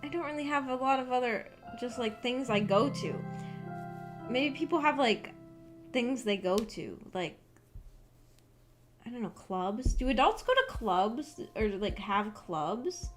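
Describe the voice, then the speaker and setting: A woman's voice: fairly high pitch, animated, a little slow. A young adult woman chatting casually to livestream viewers as a VTuber streamer, in an informal, rambling and hesitant way. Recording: background music under the voice. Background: background music.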